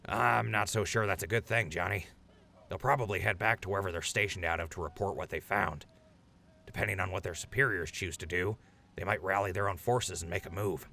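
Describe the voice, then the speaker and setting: An actor plays a wary male veteran of the wasteland. His voice is deep and gravelly with a hard, forceful edge, dry and laconic in audio drama style. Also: a little fast, heavily acted.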